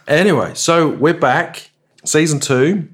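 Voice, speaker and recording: A man's voice: a little high, expressive, slow pace. A middle-aged man co-hosting a casual music podcast, joking and laughing, trailing off with fillers. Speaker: podcast co-host. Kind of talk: casual chat.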